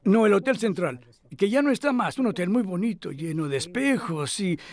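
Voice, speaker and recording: An elderly philosopher in a dubbed interview. His voice sits high for a man. He talks reflectively and modestly, with small hesitations, as if in conversation. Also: animated, normal speed. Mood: reminiscing. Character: elderly male philosopher reminiscing, thoughtful and modest. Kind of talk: Spanish voice-over dub of an interview.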